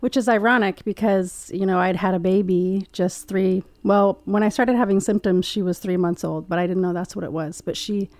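A woman in her forties, a podcast guest, talking quietly and softly with frequent hesitations. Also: medium pitch, steady tone, moderate pace, hardly any pauses, no echo. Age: 40s. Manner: quiet, soft-spoken, hesitant with fillers. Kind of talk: casual interview chat. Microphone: studio podcast mic.

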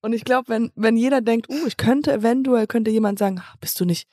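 A woman's voice: a little high, brisk, mellow, no room sound, studio quality. A woman co-hosting a podcast in casual comic banter, with a playful, mock-serious manner. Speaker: podcast co-host.

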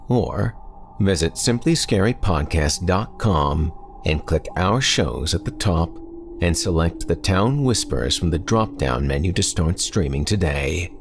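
A man with an extremely deep voice delivers a scripted horror podcast introduction. He speaks slowly and deliberately, with a gravelly edge and a theatrical, ominous manner. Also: lively intonation, background noise. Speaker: podcast host.

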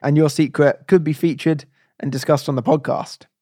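A man's voice: a little high, steady tone, normal speed, warm tone, no echo, studio quality. A man hosting a podcast, chatting in an upbeat, casual way. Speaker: podcast host.

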